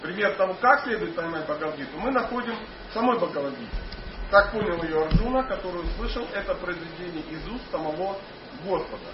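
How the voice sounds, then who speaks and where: A man with a foreign accent, not a native speaker, lecturing an audience on scripture in a loose, halting way with many fillers and restarts. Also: high voice, animated. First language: not Russian. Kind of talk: informal religious lecture to an audience. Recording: echoey hall, mic at a distance, dull sound, noisy.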